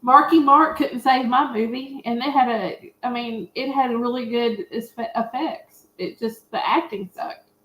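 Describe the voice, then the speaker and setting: Woman, roomy echo, fairly high voice, casual film panel talk. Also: unhurried, a bit bright. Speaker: panelist on a film review show. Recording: echoey, roomy voice pickup.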